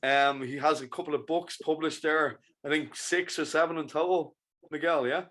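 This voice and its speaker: A man's voice: on the high side, steady tone, a bit bright. Upbeat man hosting a podcast. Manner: upbeat, encouraging, quick to praise; halting questions with restarts. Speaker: podcast host. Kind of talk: casual interview chat.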